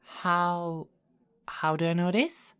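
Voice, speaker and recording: Woman acting as a young queen in an asmr roleplay, lowish voice, slow n soft, kinda formal, a bit hesitant. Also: clean tone. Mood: confiding, disapproving. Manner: formal wording, soft and hesitant with small stammers. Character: young unmarried queen, caring, dutiful, yearning to escape.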